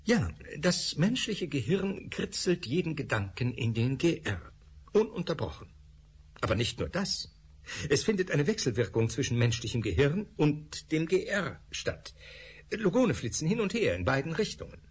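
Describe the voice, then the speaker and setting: A man's voice: a little high, very animated, normal speed, husky, heavily acted. An actor playing a male scientist who lectures with hesitant fillers in a radio drama. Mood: earnest lecturing. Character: male scientist explaining thought particles.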